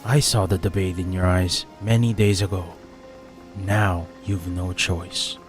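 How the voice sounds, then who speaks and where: Man acting a grave male strategist, low voice, blunt, measured, fantasy audio story, music behind. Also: steady tone. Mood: probing. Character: straightforward, grave male strategist, fisherman's son.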